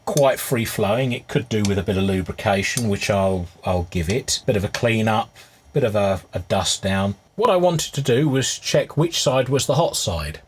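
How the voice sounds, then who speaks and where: A man with a husky voice giving casual, unscripted hands-on repair commentary, musing aloud as he works. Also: medium pitch. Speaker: hobbyist restorer presenting his repair.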